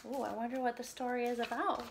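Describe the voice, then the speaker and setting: A woman's voice: a little high, fairly even intonation, fast, gravelly. A young woman teaching small children and reading a picture book aloud, expressively, with simple questions and exaggerated emphasis. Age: young adult. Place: home room with an upright piano.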